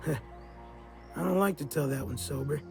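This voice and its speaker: A gravelly old mercenary drifter for a video game. The voice sits a little high and is heavy with fry, with sly, folksy, slangy talk and music running underneath. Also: male voice, takes it slowly, exaggerated voice. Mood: uneasy, wistful. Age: older. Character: gruff, sly, boozy old mercenary drifter with a guilty streak. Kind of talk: video game character dialogue.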